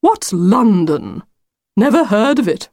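A woman's voice: mid-range voice, big pitch swings, takes it slowly, a little mellow, no echo, studio quality, pitched above the performer's own voice, heavily acted. Woman putting on a pompous, swooping storybook wolf. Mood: indignant, puzzled. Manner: grandiose, emphatic, wheedling old-fashioned phrasing. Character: pompous, theatrical, insistent storybook wolf, male, easily dismayed.

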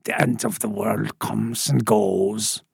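A man voicing an ancient, gruff, secretive male border smuggler in a storybook, hushed, creaky and muttering. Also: on the low side, steady tone, slow pace, no room sound, studio quality, pitched below the performer's own voice, heavily acted. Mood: self-satisfied.

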